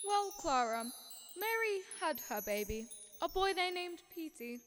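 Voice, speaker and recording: A woman's voice: extremely high pitch, big pitch swings, a little slow, music in the background, full character voice. A young woman playing a patient, authoritative senior angel telling a story in a student radio drama. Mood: storytelling. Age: young adult.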